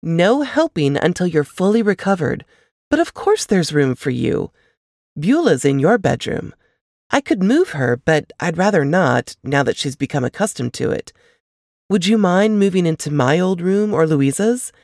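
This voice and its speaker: A woman voicing a practical, protective older sister in a novel reading, reassuring and gently bossy. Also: on the low side, big pitch swings, normal speed, no echo, clean studio sound. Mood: chatty, caring.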